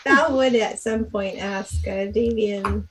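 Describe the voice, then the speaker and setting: A woman's voice: medium pitch, slow pace, background noise. A woman playing a tabletop game, talking casually with the game master.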